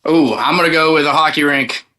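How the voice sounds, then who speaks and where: A man's voice: a little high, brisk, sharp tone. A man giving easygoing, conversational answers as a country singer guest in a casual interview.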